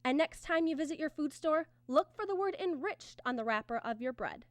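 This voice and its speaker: High-voiced woman reading a vintage radio commercial. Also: expressive, fairly quick, no echo, studio quality. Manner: earnest, emphatic sales pitch. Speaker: radio sponsor announcer. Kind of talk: scripted 1950s radio advertisement.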